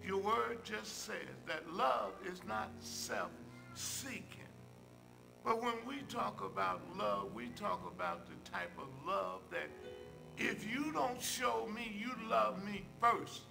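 An older man, a church minister, leads a public prayer from a pulpit in measured phrases with emphatic repetition. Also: high voice, very animated, gravelly, music in the background, poor audio. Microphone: pulpit microphone.

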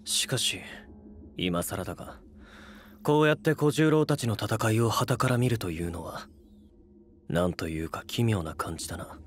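An actor playing a cool, curt young warlord in an anime audio drama, dry and matter-of-fact. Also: male voice, on the low side, lively intonation, moderate pace, natural voice. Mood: dry, aloof. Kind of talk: Japanese anime voice drama acting.

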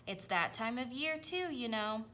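A woman's voice: a little high, expressive, a little slow, bright, forceful tone, a little hiss or hum, voice pushed up. A woman voicing a practical farm horse in a storybook, instructive and matter-of-fact.

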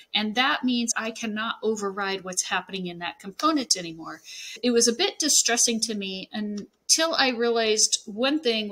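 A woman narrating a screen-share software tutorial, explaining things step by step. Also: fairly high pitch, poor audio.

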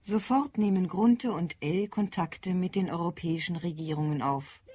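A woman's voice: fairly low pitch, normal speed, mellow, breathy edge. A woman reading scripted narration that links the scenes of an audio drama.

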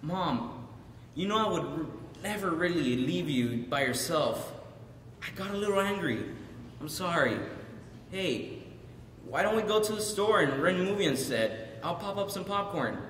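A man's voice: high-pitched, lively intonation, normal speed, sharp tone. A young man acting a resentful son worn down by his dependent mother, in a dramatic monologue. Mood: remorseful, pleading. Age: young adult. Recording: roomy echoing hall, distant mic, faint noise.

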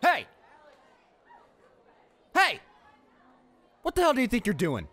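A young man playing a cocky school bully with a hidden soft spot in an audio roleplay, brash and halting as he talks. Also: very high-pitched, huge pitch swings, a faint crowd, full character voice. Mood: angry, protective. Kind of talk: dramatic audio roleplay, monologue.

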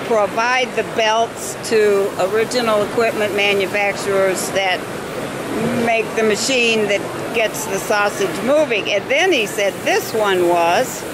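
Woman, unhurried pace, casual vlog commentary, loud trade show din behind her. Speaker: vlogger touring trade show exhibitors. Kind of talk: casual on-location commentary and questions. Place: busy convention hall, indoors. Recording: loud convention hall din, ventilation rumble. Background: loud trade show floor noise.

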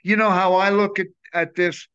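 An older man with a bright, forceful voice pitched a little high, talking freely as an interview guest. He rambles warmly and with enthusiasm. The sound is dull and lo-fi, like a laptop mic on a video call. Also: level tone, moderate pace, clear, resonant tone. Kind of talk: casual interview chat. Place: home room, video call.

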